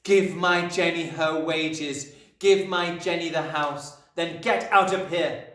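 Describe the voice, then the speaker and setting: A man's voice: fairly high pitch, steady tone, slow pace, a bit bright, voice pushed up. A ghostly storybook avenger, acted by a man issues threats in an ominous, commanding tone. The voice is slightly raised and sounds roomy and a little distant. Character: menacing ghostly male avenger delivering written threats.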